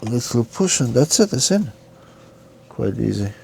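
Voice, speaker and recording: A man's voice: medium pitch, very animated, moderate pace, vocal fry, dark tone, slight background noise. A man narrating an informal hands-on repair tutorial, giving careful step-by-step instructions and sometimes muttering to himself. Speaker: repair demonstrator.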